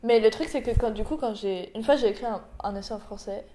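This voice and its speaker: A woman's voice: a little high, fast talker, warm tone, a little hiss or hum. A young woman, a native French speaker, hosting a casual chat, talking in loose trailing phrases with frequent fillers and laughter. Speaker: interviewer, vlog host. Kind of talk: casual conversation mixing French and English.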